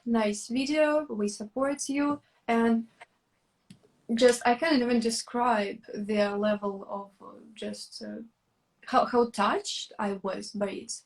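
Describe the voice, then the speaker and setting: A young woman from Russia, speaking English as a with a voice a bit higher than most. She talks very slowly, pausing to hunt for the right word. Her manner is thoughtful and unhurried, like casual stream chat. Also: poor audio. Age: young adult. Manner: thoughtful and hesitant, searching for words. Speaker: YouTuber, co-host of a live stream.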